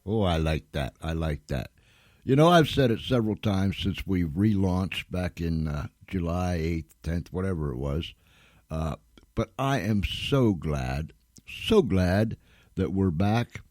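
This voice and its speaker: A man's voice: low-pitched, expressive, measured pace, a little mellow, no room sound, clean studio sound. A man hosting an informal live radio show, speaking to listeners in a folksy, effusive way and repeating phrases for emphasis. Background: music.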